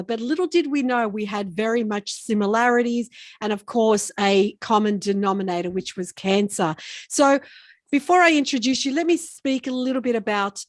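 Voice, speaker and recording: A woman's voice: on the high side, no echo, studio quality. Australian-raised woman hosting an interview. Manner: warm welcoming introductions with some hesitant fillers. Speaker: podcast host.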